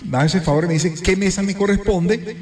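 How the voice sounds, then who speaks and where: A man hosting a morning radio show, chatting and giving listeners advice in a playful, animated way. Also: sits high, brisk, reverberant. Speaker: radio host.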